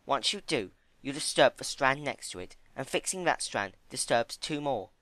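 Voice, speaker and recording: A man voicing a timid, gentle female pony with a soft, hesitant, earnest delivery in a story reading. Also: medium pitch, animated, normal speed, dry recording, pitched above the performer's own voice, natural voice. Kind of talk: dramatic fan-fiction reading.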